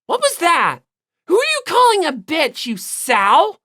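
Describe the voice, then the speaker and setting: A brash teenage gyaru girl voiced by a man for an audiobook. The voice sits high and jumps about, with a blunt, snappy, short-fused delivery. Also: huge pitch swings, unhurried, pushed and bright, heavily acted. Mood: angry.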